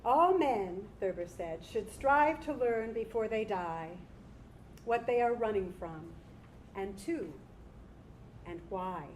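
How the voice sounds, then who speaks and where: A woman's voice: mid-range voice, lively intonation, slow, rough recording. A woman performing a staged reading and speaking to a live audience as director and performer. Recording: live stage recording with background noise.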